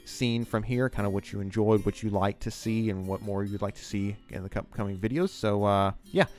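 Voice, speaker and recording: A man's voice: fairly low pitch, a little fast, a little mellow, faint music behind. A man giving enthusiastic, rambling commentary on a TV scene as the host of a video essay.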